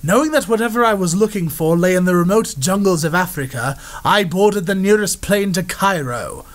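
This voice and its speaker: A man's voice: sits high, normal speed, close to an everyday voice. An actor playing a famous, swashbuckling explorer in a 1930s-style radio serial, telling his adventures in a grand, confident way. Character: bold, world-famous male explorer, Harrison Hightower.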